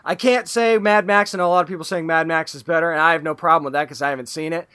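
Guy with a bright high voice, pushy and emphatic, casual movie talk. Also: fairly even intonation, fast talker, clear, resonant tone. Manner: emphatic, argumentative, swears casually. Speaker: podcast co-host. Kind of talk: casual movie review chat.

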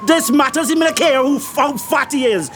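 An actor playing an outraged, complaining storekeeper in an audio drama, griping with impatient exasperation. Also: male voice, extremely high pitch, normal speed, a bit bright, slight background noise. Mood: exasperated. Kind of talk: scripted audio drama acting.

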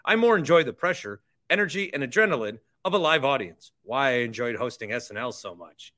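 A man's voice: mid-range voice, expressive, fairly quick, pushed and bright. A man hosting a sports podcast, talking conversationally and with opinion, repeating phrases for emphasis. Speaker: podcast host. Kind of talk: sports podcast talk with sponsor reads.

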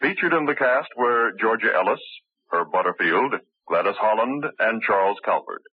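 A man announcing the closing credits of a radio crime show in a formal, even manner. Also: on the low side, normal speed, slightly rough, no room sound. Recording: tinny dry old radio broadcast.

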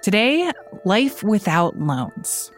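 A woman hosting a news podcast, introducing the show and interviewing a reporter in a clear, measured way. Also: medium pitch, big pitch swings, faint music behind. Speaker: podcast host.

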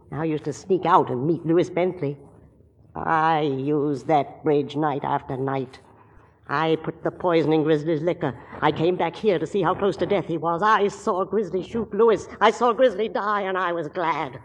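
An actress playing a bitter, obsessive, middle-aged murderess in 1940s radio drama, intense and confessional. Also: female voice, deep voice, moderate pace, lo-fi sound. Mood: bitter, gloating. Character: murderous aunt and mother, bitter, obsessive, chillingly calm. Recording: reverberant 1940s radio broadcast.

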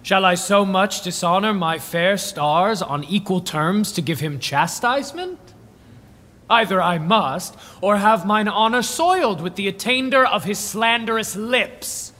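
A furious young nobleman defending his honor in Shakespearean radio drama. The voice is very high and sharp, with haughty, unhurried declamation. It rings through a long hall reverb. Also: animated, heavily acted. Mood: haughty, scornful.

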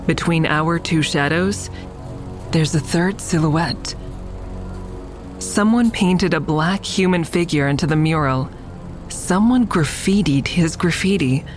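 Low measured lady tender reflective narrator in an audio drama, bit of music under it. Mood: uneasy, suspenseful. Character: reflective woman admiring a friend's mural, tender. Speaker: narrator and lead character.

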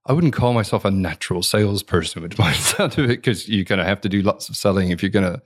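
Very low-voiced reflective man. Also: quick pace. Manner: reflective, rambling with frequent restarts and fillers. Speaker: interview guest, consultant. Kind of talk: conversational podcast interview.

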